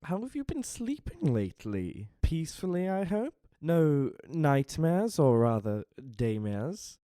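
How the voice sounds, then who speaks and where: A man's voice: on the high side, sing-song, unhurried, warm tone, no echo, clean studio sound. A man playing a sly, impudent vampire seer in an audio drama, speaking in a florid, mock-courteous, dramatic manner. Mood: sly, teasing.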